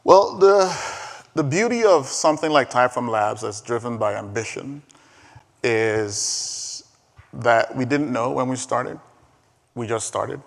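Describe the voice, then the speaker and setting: A man whose first language is Igbo, giving an energetic, emphatic conference talk and taking questions, often repeating words as he pushes a point. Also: mid-range voice, measured pace, gravelly. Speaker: conference speaker. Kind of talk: live keynote talk and Q&A. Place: large conference hall. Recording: reverberant hall.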